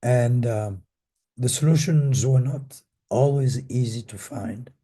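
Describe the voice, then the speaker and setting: An older man, hoarse with a cold and losing his voice, recalls history in a measured, halting panel talk as a retired academic. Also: mid-range voice, warm tone. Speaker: panelist, retired professor and former association president.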